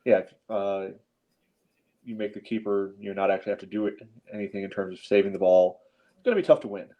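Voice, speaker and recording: A man's voice: on the low side, quick pace, highs cut off. A man co-hosting a sports podcast, giving casual analysis in a matter-of-fact way.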